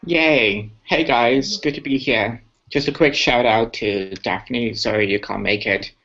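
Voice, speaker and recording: A man's voice: mid-range voice, unhurried, a bit bright. A young man from Singapore, a guest chatting in an upbeat, talkative way. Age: young adult. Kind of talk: casual interview chat. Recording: muffled lo-fi call audio.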